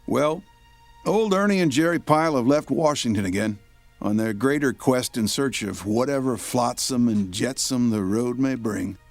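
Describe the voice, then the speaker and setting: An actor playing a folksy, philosophizing announcer of a radio serial, reading scripted narration. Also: male voice, medium pitch, normal speed, no echo, faint music behind, close to an everyday voice. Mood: wry, grand.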